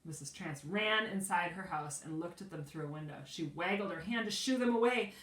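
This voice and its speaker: Low-voiced woman teacher reading aloud, echoey room. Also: fairly quick. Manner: expressive, explaining pictures to children. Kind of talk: children's storybook read-aloud. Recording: echoey room, distant from mic.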